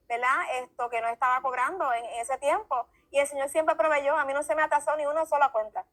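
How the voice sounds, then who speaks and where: A woman's voice: high-pitched, fairly even intonation, fast talker, a bit bright. A woman giving a fervent religious testimony, speaking emphatically and repeating phrases like a preacher.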